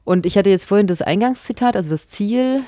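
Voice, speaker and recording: A woman's voice: mid-range voice, expressive, brisk, dark tone, dry recording, studio quality. A woman, co-hosting a casual discussion podcast, chatty and questioning. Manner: chatty, questioning, laughs often. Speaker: podcast co-host.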